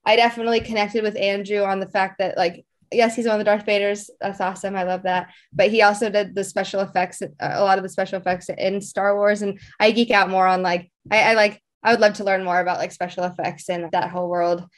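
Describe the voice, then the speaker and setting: A young woman with a mid-pitched voice talks casually as an interview guest. She rambles informally through personal stories, leaning on little filler words as she goes. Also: little pitch movement, fast talker, few pauses. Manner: informal, rambling, frequent "like" and "you know".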